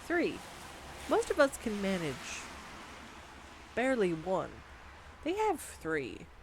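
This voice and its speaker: A woman's voice: mid-range voice, huge pitch swings, takes it slowly, noisy background. A young woman performing a scripted girlfriend roleplay monologue, chatty and giggly, talking to the listener. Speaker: voice actor playing a girlfriend. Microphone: studio condenser mic.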